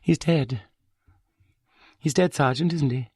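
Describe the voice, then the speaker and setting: A man's voice: a little high, slow, breathy edge, dry recording, clean studio sound, voice pushed up, heavily acted. A man voicing a bitter, vindictive wife. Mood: fearful. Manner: bitter, accusing, sharp-tongued. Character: bitter, vindictive middle-aged wife in a wheelchair. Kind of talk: audiobook character voice.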